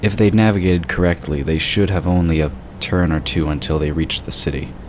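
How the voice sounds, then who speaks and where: A man's voice: fairly even intonation, a little fast. A man giving scripted narration for a fantasy audio drama. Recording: clearly noisy, sound effects behind. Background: rumbling ambience and sound effects.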